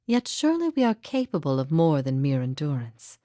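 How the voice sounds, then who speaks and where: A woman playing a solemn, resolute heretic spirit in a video game, speaking in a grave, measured, contemplative way. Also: deep voice, sing-song, mellow, no room sound, studio quality. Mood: sorrowful, pitying. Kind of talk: dramatic video game dialogue.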